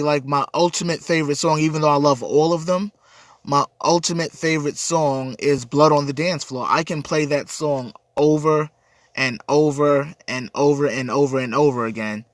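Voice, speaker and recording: A man's voice: fairly high pitch, dry recording. A man with a cold and a stuffy, congested voice, hosting a casual livestream chat and answering viewer comments in an earnest, rambling way. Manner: earnest, rambling, repeats words. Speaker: livestream host.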